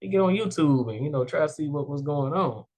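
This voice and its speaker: A man's voice: fairly high pitch, lively intonation, a little fast, a little mellow. A young adult man hosting a casual interview show, talking loosely and upbeat with many fillers. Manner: rambling, heavy "like" and "you know" fillers, upbeat. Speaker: podcast host.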